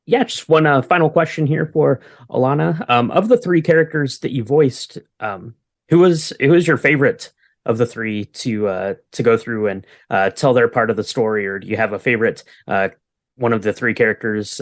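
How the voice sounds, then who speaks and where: A man co-hosting a podcast, speaking casually and a little haltingly. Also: medium pitch, no echo, clean studio sound. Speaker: podcast co-host. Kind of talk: casual chat, interviewing.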